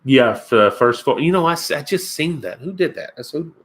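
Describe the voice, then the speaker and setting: A man's voice: on the high side, animated, fairly quick, fairly bright tone. A man from Kentucky with a Kentucky accent, hosting his first live stream and chatting casually with viewers, rambling and chuckling as he answers comments. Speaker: outdoors channel host.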